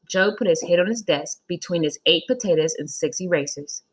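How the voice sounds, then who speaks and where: A woman's voice: lowish voice, fairly quick. A woman narrating a children's story as a scripted read-aloud.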